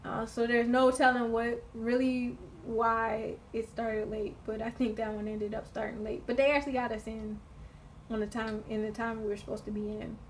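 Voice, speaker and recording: A woman in a casual vlog, her voice slightly hoarse from screaming, chatting in a rambling way with lots of fillers and restarts. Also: fairly high pitch, flat delivery, moderate pace, a little hiss or hum, poor audio. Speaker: vlogger.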